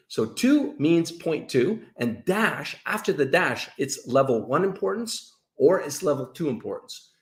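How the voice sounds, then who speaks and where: Animated, gravelly man in a roomy space. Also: medium pitch. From Canada. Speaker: host of a live dating-advice show. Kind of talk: casual livestream chat with viewers. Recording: roomy, echoey sound.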